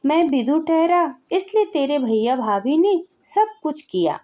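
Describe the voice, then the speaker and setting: A woman's voice: high voice, animated, a little slow, mellow. A woman storyteller voicing a stern widowed father who lectures and reproves his married daughter. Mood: firm admonishing. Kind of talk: Hindi family storytelling.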